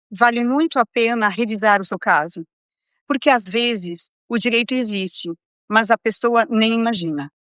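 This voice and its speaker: A middle-aged woman speaks as a lawyer delivering a prepared explainer. Her voice is fairly high, her phrasing measured and instructive. The recording is dry, with little room sound. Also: smooth, dry recording. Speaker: lawyer presenting a legal advice segment. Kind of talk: scripted explainer to camera.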